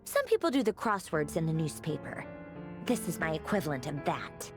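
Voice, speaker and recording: Haughty noblewoman, lively swooping pitch. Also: normal speed, over music. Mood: wry. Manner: haughty, clipped, sarcastic. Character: haughty, irritable, sarcastic doll-like young noblewoman. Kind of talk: video game voice acting.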